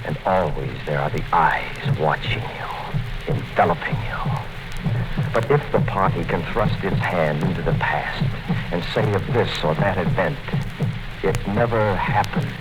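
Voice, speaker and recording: A man's voice: sits low, unhurried, rough recording. An actor in a 1950s radio drama, playing a brooding male writer in a somber, inward monologue. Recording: old radio broadcast with music.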